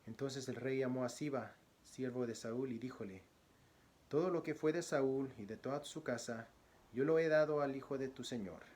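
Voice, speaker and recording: A man from Guatemala, a church deacon, introducing himself as a guest preacher and reading scripture, speaking softly with many pauses. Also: medium pitch, a little hiss or hum. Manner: soft-spoken, frequent pauses and fillers; louder, declamatory when reading scripture.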